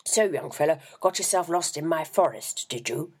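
A woman voicing an ancient evil forest spirit disguised as an old male clergyman, booming and hissing in a husky, breathy voice. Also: animated, moderate pace. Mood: falsely jovial. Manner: booming, roaring, hissing whispers.

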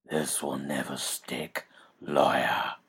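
A man voicing a brooding masked male vigilante, speaking in a low, gruff, whispered snarl. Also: level tone, slow pace, fairly bright tone, exaggerated voice.

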